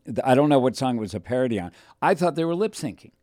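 A man in his forties hosting a radio talk show, his voice sitting in a middle pitch. He tells stories in a lively way and slips into voices when he quotes people. The studio sound is dry and close to the mic. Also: very fast, no room sound. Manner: animated storyteller, puts on voices for quotes. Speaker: radio host. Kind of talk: casual radio show chat. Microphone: studio microphone.

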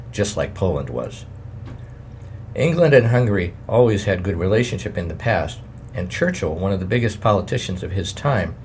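A man reading a wartime memoir aloud in a plain, measured way, adding his own editor's notes. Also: on the low side, a little mellow, a little hiss or hum.

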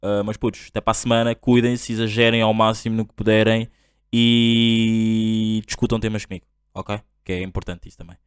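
A man's voice: lowish voice, flat delivery, clear, resonant tone, no echo, clean studio sound. A young man from Angola hosting a podcast, talking casually and loosely with lots of slang fillers. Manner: slangy, drawn-out "tipo" and "bro". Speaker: podcast host.

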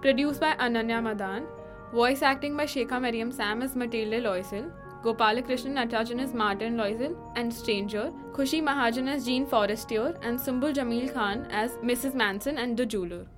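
A woman's voice: on the high side, brisk. A young woman reading scripted credits as the announcer of a radio drama. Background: music.

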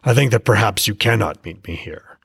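A proud, fearless old war god, voiced by a man, haughty and sneering. His voice is deep with a gravelly edge and a husky grain, recorded close and dry. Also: a little fast, a bit bright, no room sound, heavily acted. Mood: scornful. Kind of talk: audiobook character voice.

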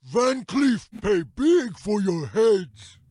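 An actor playing a gruff dungeon henchman in a video game, barking threats in an exaggerated villain voice. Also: high-pitched, very animated, drawn-out words, mellow, full character voice. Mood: threatening.

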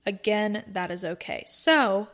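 A woman's voice: medium pitch, expressive, takes it slowly, fairly bright tone. Young woman in her twenties, podcast host, rambling casual chat, upbeat, cozy room. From North Carolina, US. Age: 20s.

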